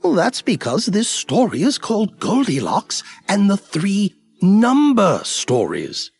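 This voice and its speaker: A pompous, patient cartoon storybook narrator, speaks with grand formality. The pitch swings very widely, the pace is slow and deliberate, and the tone has a husky edge. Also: huge pitch swings, darkish tone, exaggerated voice. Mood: patient, instructive.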